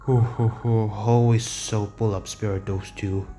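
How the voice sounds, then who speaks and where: An actor voicing a jolly old cookie sage who talks in a calm, measured way with unhurried chuckles, in an animated fantasy fandub. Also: mid-range voice, slight background noise, natural voice. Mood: calm, amused. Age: older. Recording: voice over background music.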